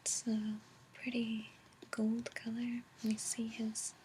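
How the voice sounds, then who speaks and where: A woman speaking softly in a breathy near-whisper, gently and unhurriedly, as an ASMR host reading and chatting. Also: a little high, completely flat delivery, slow pace, background noise. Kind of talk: soft-spoken ASMR reading and chat. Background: page turning, tapping, clicks.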